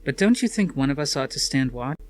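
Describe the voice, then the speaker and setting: A man's voice: mid-range voice, expressive, fairly quick, pitched above the performer's own voice, natural voice. A man voicing an anxious, practical woman scientist in a storybook reading, with an emphatic, exasperated way of asking things. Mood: uneasy.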